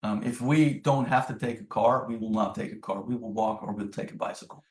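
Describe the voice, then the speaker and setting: A middle-aged man from the Netherlands, a native Dutch speaker, answers interview questions in a reflective, measured conversational way. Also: on the low side, steady tone, a little mellow. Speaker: podcast guest, business executive. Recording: roomy, echoing sound.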